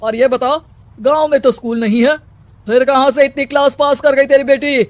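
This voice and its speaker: Performer doing a high-pitched domineering village headman. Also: steady tone, normal speed, no room sound, lightly acted. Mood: suspicious, commanding. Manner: commanding, scolding. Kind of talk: animated Hindi moral story dialogue.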